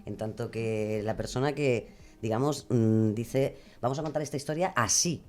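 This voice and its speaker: Spanish woman from spain on a podcast, casual and expressive, explaining how she does her narrating. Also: very deep voice, moderate pace, soft background music. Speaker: podcast guest, true crime narrator and creator. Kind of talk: casual conversational explanation of craft.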